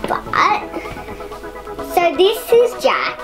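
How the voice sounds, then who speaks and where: A woman's voice: very high voice, wildly swooping pitch, extremely slow, over music. A young girl hosting a puppet show, playfully interviewing the puppets. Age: child.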